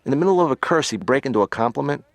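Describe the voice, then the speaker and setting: A man's voice: mid-range voice, lively intonation, very fast, dry recording, natural voice. A man voicing a cartoon patient who tells his stand-up jokes in a wry way. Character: comedian patient on the therapy couch.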